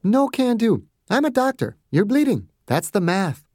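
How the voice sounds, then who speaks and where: A narrator voicing a confident, no-nonsense woman doctor, with a much higher voice than the narration. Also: big pitch swings, a little mellow, dry recording, studio quality. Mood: firm. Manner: brisk, firm.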